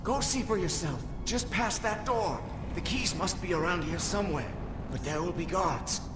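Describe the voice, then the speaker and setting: A man's voice: sits high, moderate pace, close to an everyday voice. An actor playing a frail, half-blind old man in a video game, rambling and plaintive. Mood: grateful, shaken. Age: older. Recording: echoing game dungeon, music behind.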